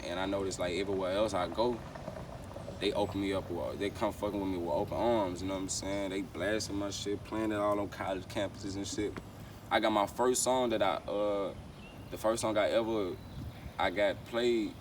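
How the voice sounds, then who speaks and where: A man's voice: fairly low pitch, fairly even intonation, fairly quick. A young man, a rapper chatting casually in street slang in an interview, rambling and repeating his phrases. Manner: rambling, repeats phrases, frequent "you know what I'm saying". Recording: distant mic, clear outdoor traffic noise.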